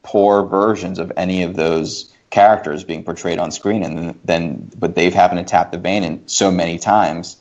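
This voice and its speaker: A man in a casual, opinionated discussion, thinking aloud in a halting but emphatic way and rephrasing as he goes. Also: deep voice, steady tone, darkish tone. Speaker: podcast guest. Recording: dull lo-fi podcast audio.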